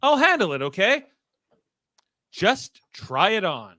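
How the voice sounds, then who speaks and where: A man's voice: sits high, sing-song, slow, pushed and bright, no echo, studio quality, exaggerated voice. A man playing an earnest, uptight, insistent young team leader in a radio play.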